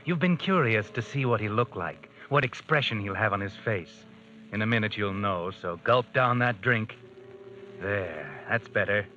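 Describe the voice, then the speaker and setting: A man's voice: fairly low pitch, expressive, moderate pace, bright, forceful tone. An actor playing a mysterious male narrator in an old radio drama, who tells the hero what he is feeling and doing. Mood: insinuating. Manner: insinuating, speaks to the hero as "you". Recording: dull old radio recording with music.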